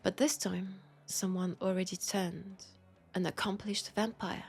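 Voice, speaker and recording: Breathy French-accented vampire woman, played by an actress. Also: medium pitch, fairly even intonation, soft background music. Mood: intimate, seductive. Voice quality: soft, breathy, often dropping to a whisper. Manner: unhurried, confiding, pausing between phrases. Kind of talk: intimate roleplay audio drama monologue.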